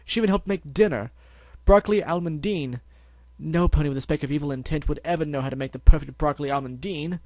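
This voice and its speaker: A man's voice: a little high, big pitch swings, normal speed, no room sound. A man putting on a fussy, theatrical unicorn stallion. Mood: flustered pleading.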